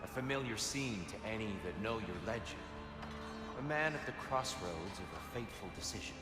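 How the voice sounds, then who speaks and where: Game role: serene warrior-sage played by a man, formal, measured, patient teacher, score playing behind. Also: lowish voice, lively intonation, over loud music, lightly acted. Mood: solemn. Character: calm, wise male god of war, patient mentor. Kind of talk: video game cutscene acting.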